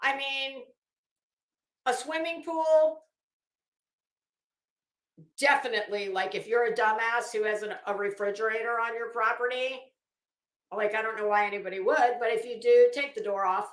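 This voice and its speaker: A woman's voice: a little high, normal speed, long pauses. A woman livestreamer talking casually and reading aloud, with a blunt, sardonic, salty-tongued manner. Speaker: livestream host. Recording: echoey room.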